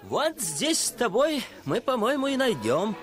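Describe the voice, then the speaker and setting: A self-assured, theatrical boy in a radio play. He speaks slowly with huge swings of pitch, over a clear crowd. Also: huge pitch swings, heavily acted. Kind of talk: Russian radio play acting. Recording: bustling market crowd, music behind.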